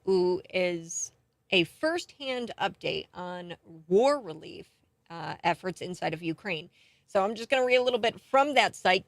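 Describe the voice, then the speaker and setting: An American woman hosting a radio talk show in a studio, speaking in an excitable, emphatic way. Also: mid-range voice, no echo. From United States. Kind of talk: talk radio monologue and interview.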